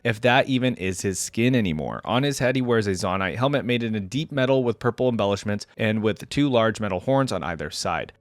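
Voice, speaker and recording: A man's voice: mid-range voice, no echo, clean studio sound. A man hosting a reaction video, commenting in a conversational, enthusiastic way and reading aloud as he goes. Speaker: host of a game lore reaction channel.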